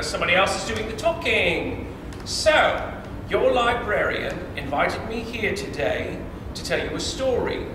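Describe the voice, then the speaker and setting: A chatty puppet-show storyteller acted by a man addresses children in an exaggerated singsong. The voice sits very high and swoops around, heard from a distance in an echoey room. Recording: roomy, distant mic, faint noise.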